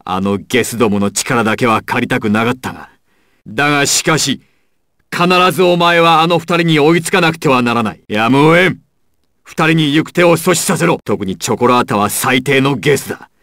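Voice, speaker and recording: A man's voice: mid-range voice, expressive, a little fast, fairly bright tone, no room sound, studio quality. A man playing a proud, ruthless crime boss villain in an anime fighting game, with an imperious, menacing and theatrical delivery. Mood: grave, commanding.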